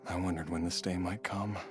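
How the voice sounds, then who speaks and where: An actor playing an earnest, ailing family man resigned to his fate in a video game, speaking haltingly. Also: sits low. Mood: worried, resigned. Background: game music.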